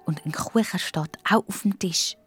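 A woman's voice: lowish voice, normal speed, slightly rough. Swiss German woman narrating with music. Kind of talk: scripted children's story narration.

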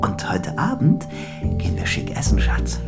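A man's voice: on the low side, wildly swooping pitch, moderate pace. An actor playing an obsessive, deluded young man in love in a radio play, intimate and brooding, often murmuring to himself. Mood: deluded elation. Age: young adult. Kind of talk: radio play acting, interior monologue. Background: music.

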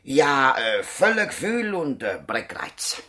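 A man's voice: medium pitch, big pitch swings, slow pace, sharp tone, full character voice. A man with a Swiss accent playing an affable, slightly bewildered dog breeder as a patient in a radio drama, hesitant and homely. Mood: hesitant, complaining. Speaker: actor. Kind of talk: naturalistic radio drama acting.

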